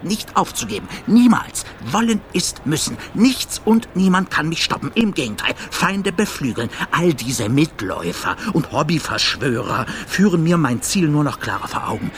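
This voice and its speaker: A man's voice: mid-range voice, close to an everyday voice. A man playing a paranoid, obsessive traveler who speaks in a grandiose, embattled radio-play monologue. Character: paranoid, obsessive, defiant traveler convinced of his triumph. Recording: faint background ambience noise.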